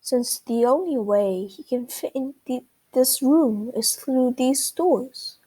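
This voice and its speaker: A woman's voice: sits high, slow, mellow, breathy edge, exaggerated voice, rough recording. A woman voicing a confident, inquisitive male duck detective in a children's storybook. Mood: deducing, confident. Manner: inquisitive, explanatory, exclaiming.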